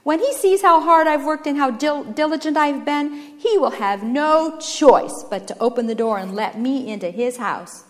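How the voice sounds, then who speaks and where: A woman's voice: sits high, moderate pace, hardly any pauses, big-room sound, pitched above the performer's own voice, close to an everyday voice. A woman voicing a guilt-ridden young man who is desperate and stubborn, speaking in an earnest, insistent, dramatic way. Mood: anguished, determined.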